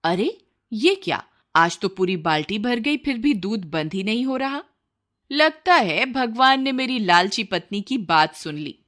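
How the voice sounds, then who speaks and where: A woman plays a poor, honest village milkman in a cartoon moral tale. The husband talks earnestly, moralising in rhetorical questions, at a medium pitch for a female voice. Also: big pitch swings, a little slow, fairly bright tone. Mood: surprised, hopeful.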